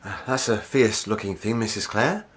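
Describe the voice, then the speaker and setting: A man playing a gentle, hesitant, lovesick lover in a radio drama. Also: lowish voice, normal speed, husky. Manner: hesitant, ardent. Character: smitten, tormented lover, gentle and yearning.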